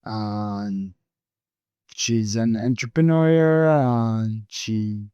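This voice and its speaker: A man hosting a casual podcast chat, talking in a halting way with many restarts. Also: fairly low pitch, mellow, clear, resonant tone, dry recording, muffled recording. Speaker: podcast host.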